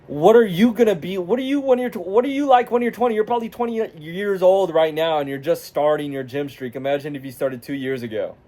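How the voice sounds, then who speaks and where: A nineteen-year-old man delivers a motivational rant straight at the viewer. He is blunt, swears freely and talks in a confrontational way. His voice sits slightly high for a man. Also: quick pace, few pauses, mellow. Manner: blunt, profane, confrontational, addressing the viewer directly. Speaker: self-improvement vlogger.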